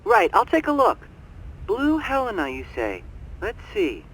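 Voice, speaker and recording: A man's voice: high voice, wildly swooping pitch, measured pace, a bit bright, husky, heavily acted, rough recording. A man playing an obliging hotel barman in a video game, chatty and folksy with a theatrical delivery. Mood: showy, obliging.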